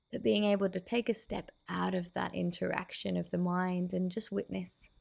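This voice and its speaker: A woman guiding a meditation as a teacher, giving soothing, unhurried instructions with pauses. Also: on the low side, vocal fry, a little mellow. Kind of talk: guided meditation.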